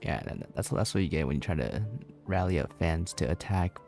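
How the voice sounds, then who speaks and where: A young man chats casually over a video game in a laid-back, slangy way. His voice is very low and gravelly. Faint music sits behind him. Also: fairly quick, darkish tone. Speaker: gamer commenting on gameplay. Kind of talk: casual gameplay commentary.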